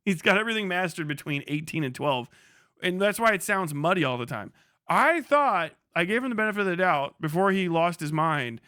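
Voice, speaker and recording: Bright, clear-voiced man streaming casually. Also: fairly high pitch, no echo, studio quality. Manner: profane, slangy, conversational. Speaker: livestream host. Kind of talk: casual livestream commentary.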